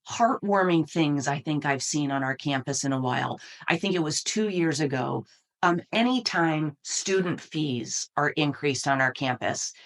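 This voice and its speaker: A middle-aged American woman giving earnest, explanatory answers as an interview guest. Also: deep voice. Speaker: university dean, interview guest.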